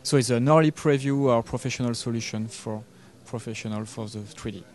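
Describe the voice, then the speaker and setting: A man, giving a product demo interview in halting English. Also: mid-range voice. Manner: halting non-native English. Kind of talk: trade show interview. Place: trade show booth indoors. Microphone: handheld interview microphone.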